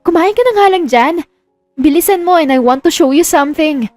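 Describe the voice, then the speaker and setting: A woman's voice: sits high, lively intonation, a little fast, a little mellow, faint music behind, pitched above the performer's own voice. A woman playing an eager, nervous young wife who speaks sweetly and coaxingly in a romance audio drama. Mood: sweet, insistent.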